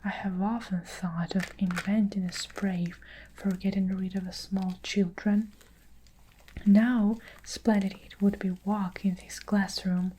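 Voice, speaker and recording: A woman's voice: mid-range voice, warm tone, husky, rough recording. Whispered child-hating headmistress, voiced by a woman. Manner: snarling insults. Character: furious hulking female headmistress, child-hating bully.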